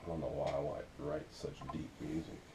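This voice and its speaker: A young man with a deep voice chatting casually about his music in a laid-back, rambling way, full of fillers. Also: flat delivery, normal speed. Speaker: music artist vlogger. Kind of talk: casual vlog chat. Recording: roomy with loud rumbling noise.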